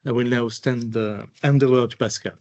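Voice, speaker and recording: A man's voice: mid-range voice, fairly even intonation, normal speed, darkish tone, highs cut off. Man presenting financial results. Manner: formal, reading out figures. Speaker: chief financial officer. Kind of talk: scripted earnings presentation.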